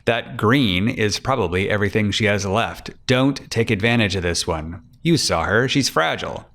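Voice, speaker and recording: A man's voice: on the low side, animated, normal speed, fairly bright tone. A man voicing a weary, blunt private detective boss in a crime audiobook, terse and matter-of-fact. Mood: wary.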